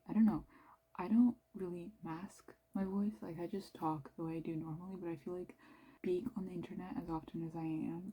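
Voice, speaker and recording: A young woman from Canada with a Canadian accent and a fairly low, mellow voice. She keeps her talk hushed and near-whispered. Her delivery is loose and rambling, full of "like" and trailing asides, with a husky edge. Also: low-quality recording. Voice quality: low-ish voice. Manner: talking quietly, near-whispered; rambling, filler-heavy with "like". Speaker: book vlogger. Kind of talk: casual chat.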